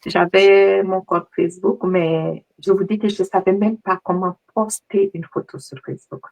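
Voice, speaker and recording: A middle-aged woman from Mali, a guest coach, answering interview questions in a lively, conversational way. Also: medium pitch, moderate pace, creaky, poor audio.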